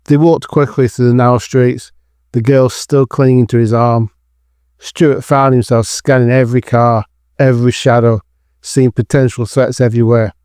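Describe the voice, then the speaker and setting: A man's voice: mid-range voice, little pitch movement, normal speed, darkish tone, clean tone, no echo, studio quality. A man reading scripted narration for an audio drama as its narrator.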